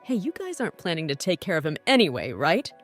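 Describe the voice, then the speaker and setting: A woman's voice: fairly low pitch, quick pace, soft background music. A woman voicing an outspoken young aunt who works as a bar hostess in an anime-style dub. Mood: scornful. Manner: sharp-tongued, lively.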